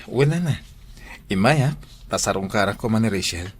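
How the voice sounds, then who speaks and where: A man's voice: on the low side, lively intonation, moderate pace, slightly rough. A man acting as a patient, polite suitor in an audio drama, with an Ilocano accent.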